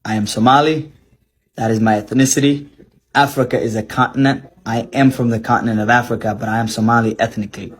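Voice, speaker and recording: Husky man declaring emphatically. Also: mid-range voice, steady tone, fairly quick. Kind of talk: loud clip to camera.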